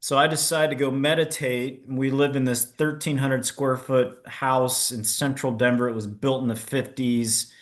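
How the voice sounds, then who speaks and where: A man's voice: mid-range voice, flat delivery, normal speed, few pauses. A middle-aged man, an entrepreneur, telling his own story candidly in a casual interview, poking fun at himself and stumbling into restarts now and then. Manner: candid, self-deprecating, with stammered restarts. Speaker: podcast guest, entrepreneur.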